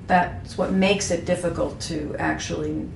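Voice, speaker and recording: Candid fifty-something woman, low gravelly voice. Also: steady tone, measured pace. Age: 50s. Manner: self-deprecating, frank, many fillers and restarts. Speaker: graphic designer, interviewee. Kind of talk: candid reflective interview talk. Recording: echoey room with faint background hum.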